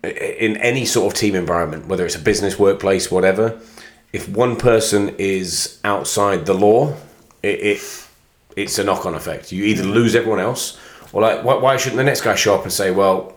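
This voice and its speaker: A man with a fairly low voice co-hosts a casual football podcast and coaches a college team. He explains his views earnestly and at length. The room adds a clear echo. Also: normal speed. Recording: echoey room.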